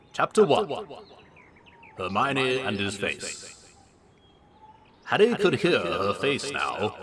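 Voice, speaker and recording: A man reading absurd comic fiction aloud as a host, in a theatrical, mock-serious way with big swings of emphasis. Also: mid-range voice.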